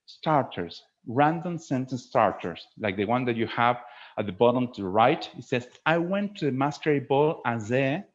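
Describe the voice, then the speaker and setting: A man's voice: medium pitch, animated, moderate pace. Man presenting a lesson through dull audio. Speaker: teacher trainer presenting a webinar. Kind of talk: informal instructional presentation with acted demonstrations.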